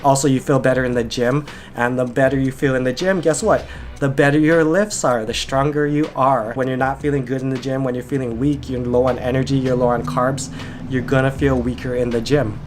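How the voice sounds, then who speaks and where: A man's voice: mid-range voice, steady tone, fairly quick, faint music behind. Nasal young man talking fitness. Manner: rambling, filler-heavy with frequent "like". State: congested and nasal from a cold. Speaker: fitness vlogger and coach. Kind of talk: casual vlog chat.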